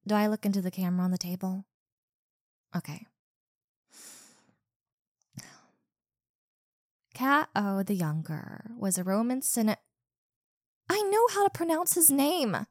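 A young woman playing a rude, insecure popular college girl in a one-sided roleplay, sharp-tongued and defensive, stumbling over her words. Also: medium pitch, expressive, normal speed, dry recording, studio quality, natural voice. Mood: hostile, irritated. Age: young adult.

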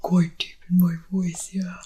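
Soft asmr lady rambling in her apartment late at night, half whispers, flat and unhurried. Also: fairly low pitch, breathy edge. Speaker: ASMR creator. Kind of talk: soft-spoken ASMR chat.

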